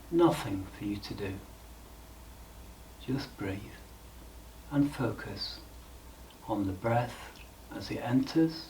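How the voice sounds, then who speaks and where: A man leading a guided meditation in a calm, measured, instructional way with frequent pauses. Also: medium pitch, distant mic, a little hiss or hum, poor audio.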